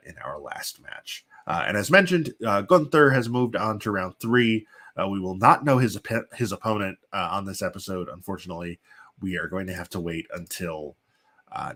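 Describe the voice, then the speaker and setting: A man with a congested, sniffly voice from a chest cold, hosting and giving casual, halting play-by-play commentary on simulated wrestling. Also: low-pitched, a bit bright. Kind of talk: casual live play-by-play commentary with chatty asides.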